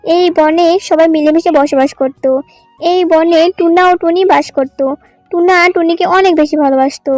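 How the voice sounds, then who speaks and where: Female narrator for a children's cartoon tale, extremely high voice, dry sound with music underneath. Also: darkish tone, dry recording.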